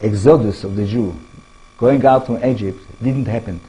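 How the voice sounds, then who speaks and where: A man with an Israeli accent, a native Hebrew speaker, giving a public talk as an author, animated and full of rhetorical questions to the audience. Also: fairly low pitch, normal speed, slightly rough. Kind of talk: public lecture.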